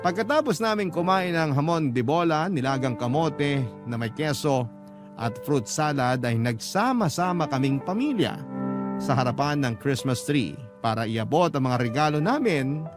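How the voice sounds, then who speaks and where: A man's voice: on the high side, animated, normal speed, close to an everyday voice. A man playing a sentimental Filipino narrator recalling family memories in a radio drama. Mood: reminiscing. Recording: loud music under the voice.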